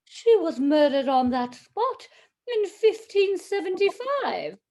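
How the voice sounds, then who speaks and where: A woman's voice: extremely high pitch, sing-song, takes it slowly, dark tone, heavily acted. A woman playing a superstitious, deferential housekeeper of a haunted manor in a radio play, with an ominous, formal way of speaking. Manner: ominous, dramatic, formal servant address.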